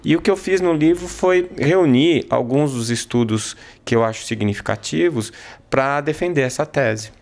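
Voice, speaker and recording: A man, a physician guest, explaining his research in a thoughtful way with frequent filler pauses. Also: fairly high pitch, vocal fry, a little mellow. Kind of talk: interview answers.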